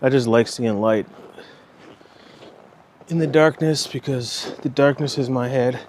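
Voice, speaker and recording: A man's voice: medium pitch, unhurried, slight background noise. Casual man on a night walk. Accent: slight Minnesotan. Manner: rambling, relaxed, swears casually. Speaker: vlogger. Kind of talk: casual walking vlog.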